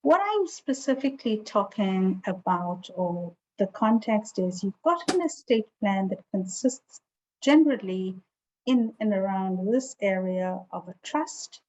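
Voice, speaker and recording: A woman's voice: mid-range voice, darkish tone, a little hiss or hum. South African woman presenting, slightly muffled sound. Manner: explanatory, conversational. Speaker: presenter, estate planning attorney. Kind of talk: informal online seminar talk. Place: online video call with virtual background. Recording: dull lo-fi video call sound.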